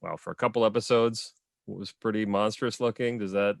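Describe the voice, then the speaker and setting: Chatty male panelist, low voice, dry audio. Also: fairly even intonation, normal speed, vocal fry, no echo. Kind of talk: casual panel discussion.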